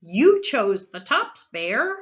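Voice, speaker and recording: A woman voicing a clever, persuasive male trickster hare from a storybook, pitching deals with eager patter. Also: big pitch swings, slow pace, lo-fi sound. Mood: sly.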